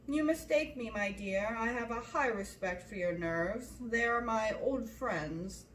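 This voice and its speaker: A woman voicing a sarcastic middle-aged father in a storybook reading, measured, wry and mock-polite. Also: on the high side, a bit bright, echoey room, distant mic, slight background noise, poor audio. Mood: dryly sarcastic.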